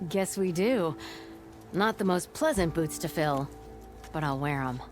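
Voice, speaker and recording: An actress playing a brash, teasing mine worker leader in a video game, cocky in manner. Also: female voice, low-pitched, lively intonation, a little slow, over music. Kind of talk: video game cutscene acting.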